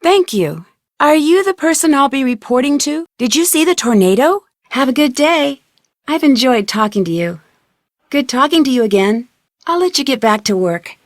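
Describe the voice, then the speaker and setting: A young female sleuth from a video game, with a fairly high voice and a close, dry sound. She is polite and inquisitive, putting her questions with open curiosity. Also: expressive, normal speed, no echo. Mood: curious, friendly. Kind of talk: video game dialogue acting.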